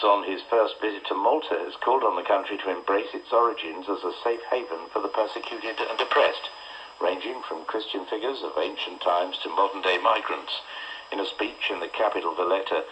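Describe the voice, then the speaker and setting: A man's voice: deep voice, fairly quick, pushed and bright. A man reading a scripted news bulletin as a radio newsreader. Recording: muffled noisy shortwave radio broadcast.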